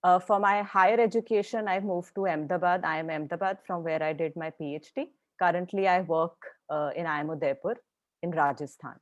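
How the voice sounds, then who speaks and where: A woman's voice: lowish voice, fairly even intonation, fairly quick, no room sound, dull audio. Indian-accented woman, dry close sound. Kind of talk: self-introduction on a webinar.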